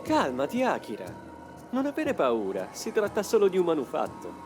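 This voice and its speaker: A man's voice: fairly high pitch, wildly swooping pitch, moderate pace, loud music behind the voice. A man playing a cool, secretive young man, speaking in a solemn, commanding anime dub manner. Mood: grave, controlled. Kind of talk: dubbed anime motion comic acting.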